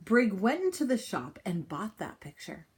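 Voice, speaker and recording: A woman reading a children's picture book aloud in an expressive style, with deliberate, dramatic phrasing and pauses. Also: mid-range voice. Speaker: storybook reader.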